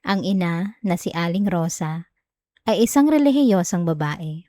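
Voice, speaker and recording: Woman acting as a sweet clingy young filipino wife, soft coaxing asmr bedtime voice, super close and dry. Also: on the low side, unhurried, dry recording, close to an everyday voice. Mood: calm, soothing. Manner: soft, giggly, affectionate, coaxing. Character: sweet, clingy, teasing young wife soothing her partner. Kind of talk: intimate ASMR roleplay, bedtime chat and story reading. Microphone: close microphone, dry sound.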